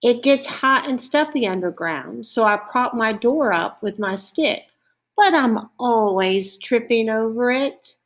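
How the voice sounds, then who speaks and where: A woman's voice: fairly high pitch, fairly bright tone, voice pushed up. A woman voicing a friendly, mildly grumbling storybook badger in an emphatic, sing-song read-aloud manner. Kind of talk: storybook character voice.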